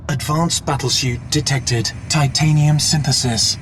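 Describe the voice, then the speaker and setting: An actor voicing a calm, dutiful AI assistant in a video game, with a formal, measured and deferential manner. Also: male voice, fairly high pitch, a little hiss or hum. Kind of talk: scripted video game acting.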